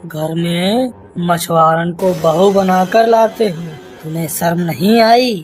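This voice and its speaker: A woman's voice: on the low side, slow, darkish tone, faint music behind. A woman voicing a stern, haughty elder-brother landlord in a cartoon story, scolding. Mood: angry, scornful.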